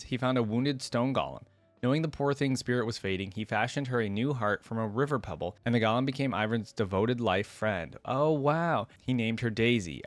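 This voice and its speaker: Expressive man reading and reacting, dry sound. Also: mid-range voice, no room sound. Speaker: reaction video host. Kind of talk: reading a story aloud with casual reaction commentary.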